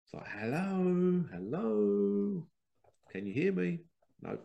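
A man's voice: sits high, very animated, slow, creaky, warm tone, lo-fi sound. A man with a head cold and a congested voice, hosting a rambling spiritual talk and often acting out quoted exchanges. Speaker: podcast host. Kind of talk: casual spiritual monologue.

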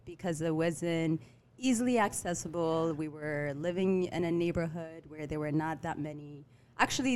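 A middle-aged woman from Eritrea who grew up in Ethiopia, a restaurant owner and guest, telling her story casually with many fillers and pauses. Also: low-pitched, darkish tone. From Eritrea, raised in Ethiopia. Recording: faint background noise.

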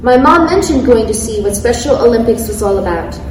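A woman's voice: fairly high pitch, animated, a little fast, darkish tone, a little hiss or hum. A woman in her thirties on a panel, reading prepared remarks in an eager way, laughing at herself when she stumbles. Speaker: panelist, athlete speaker. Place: large event hall. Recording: echoey hall, mic at a distance.

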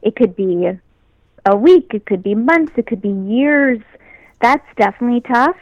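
A young woman with a high, light voice chats easily about her work, upbeat and given to laughing. The sound is dry and close, with no room around her. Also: lively intonation, moderate pace, no echo, lo-fi sound. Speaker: guest actress. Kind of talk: casual interview chat.

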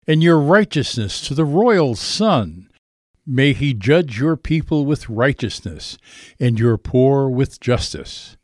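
A man reading scripture aloud as a solemn recitation, measured and declamatory. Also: medium pitch, a little mellow, no echo, clean studio sound. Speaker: scripture reader.

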